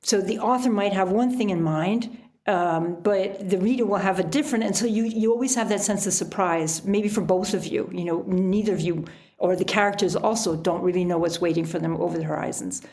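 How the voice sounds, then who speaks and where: A woman speaking as an interview guest and writer, chatting casually in an animated storytelling way. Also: medium pitch, a little fast. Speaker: podcast guest, adventure writer.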